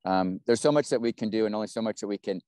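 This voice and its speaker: Male host on a video call, warm rambling encouragement, clear dry sound. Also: lowish voice, quick pace, no echo. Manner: rambling, encouraging, many restarts. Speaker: webinar host. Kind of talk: casual hosting on a video call.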